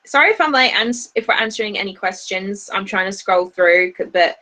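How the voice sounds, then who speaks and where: A woman's voice: mid-range voice, animated, fairly quick, pushed and bright. Chatty woman, British-Australian accent. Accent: mixed British and Australian. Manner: chatty, rambling, lots of restarts and fillers. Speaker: YouTube anime reviewer, livestream co-host. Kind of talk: casual livestream chat.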